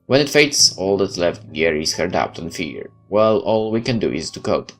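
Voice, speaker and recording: A man's voice: sits low, very animated, normal speed. A man doing a let's play, reading a game's story text aloud with casual asides. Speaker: game streamer reading aloud. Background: game music.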